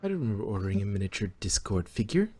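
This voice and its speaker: Man voicing a stressed, animated pony princess. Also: fairly low pitch, rapid-fire, husky. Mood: annoyed. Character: Twilight, stressed, irritable young pony princess, female.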